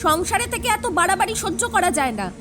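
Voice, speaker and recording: An actress playing a domineering, sharp-tongued elder sister-in-law in an audio drama, scolding and quarrelsome. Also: female voice, extremely high pitch, very animated, a little fast, noisy background. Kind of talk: Bengali audio drama acting.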